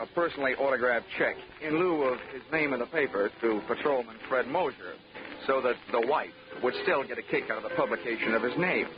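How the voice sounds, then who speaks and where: A man's voice: medium pitch, close to an everyday voice. An actor playing a wry, hard-boiled investigator who narrates his case with dry, clipped wisecracks in 1940s radio style. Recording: muffled 1940s radio with music.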